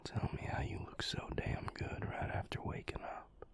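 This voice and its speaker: A man playing an affectionate, teasing male vampire lover in an intimate romantic audio roleplay, with a husky, breathy, flirtatious drawl. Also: normal speed, lightly acted. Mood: flirtatious, tender. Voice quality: gravelly, husky, breathy near-whisper.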